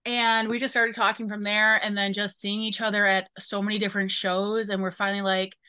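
A woman's voice: medium pitch, little pitch movement, brisk, bright, forceful tone, smooth, dry recording. A woman in her forties from the Chicago area, a podcast guest chatting in a friendly, talkative way. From Chicago area, USA. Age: 40s. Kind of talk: casual interview chat.

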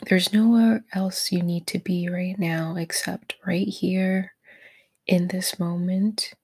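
A woman leading a guided meditation in a soft, breathy, near-whispered voice, gentle and encouraging toward the listener. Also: on the low side, fairly even intonation, slow pace. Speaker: meditation guide, podcast host.

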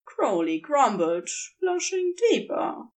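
A woman's voice: high voice, very animated, slow pace. A woman reading scripted fiction as the narrator, telling a story.

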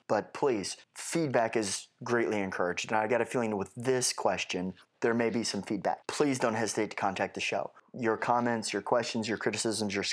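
A nasal-sounding man getting over a head cold, hosting his solo podcast with rambling, wry asides. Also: lowish voice. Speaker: podcast host.

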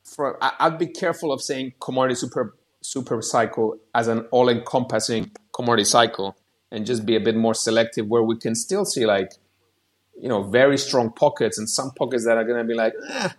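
A man's voice: medium pitch, fairly quick. A man giving casual, rambling market commentary on a podcast, often restarting his sentences. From outside the US.

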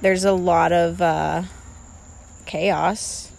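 A woman's voice: on the low side, steady tone, extremely slow, creaky, darkish tone. A woman in her twenties co-hosting a casual podcast, talking in a rambling, filler-heavy, self-deprecating way. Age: 20s. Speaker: podcast co-host. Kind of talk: casual chat.

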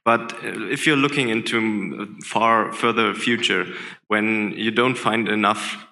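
Low-voiced Swiss man in echoing hall. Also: steady tone, measured pace, sharp tone. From Switzerland. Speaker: audience member asking a question. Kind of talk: live question from the floor. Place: large room at a live gathering. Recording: reverberant hall, clearly noisy.

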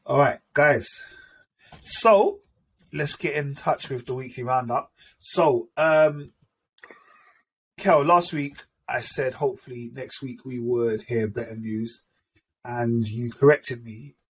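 A man's voice: mid-range voice, slow, breathy edge. A man hosting a casual chat, easygoing and agreeable as he leads the segments. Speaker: podcast host. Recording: distant mic with faint background noise.

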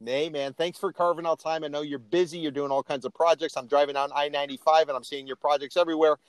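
A man's voice: fairly high pitch, fairly even intonation, quick pace, clear, resonant tone, dry recording. A man hosting an interview podcast in a casual, chatty, informal way with emphatic delivery. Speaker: podcast host.

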